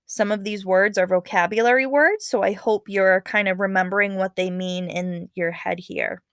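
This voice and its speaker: A woman's voice: mid-range voice, fairly even intonation, moderate pace, a bit bright, clean tone, dry recording. Woman teacher reading aloud, dry close sound. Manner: instructive, checks in with okay and all right. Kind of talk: expressive read-aloud with casual teaching asides.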